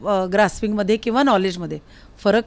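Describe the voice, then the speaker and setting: A woman's voice: medium pitch, fast, a little mellow. A woman hosting a casual interview, chatting in an encouraging, exclaiming way. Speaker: interviewer, host.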